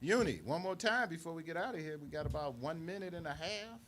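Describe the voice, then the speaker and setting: Bright-voiced man hosting talk radio. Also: fairly high pitch, lively intonation, fast talker, slight background noise. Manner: informal, folksy phrasing. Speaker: radio talk show host.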